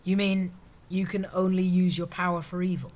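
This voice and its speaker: A man's voice: sits high, flat delivery, normal speed, darkish tone, lightly acted. Man playing a blithe, scatterbrained office schemer. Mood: scheming. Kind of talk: comedy audio drama acting.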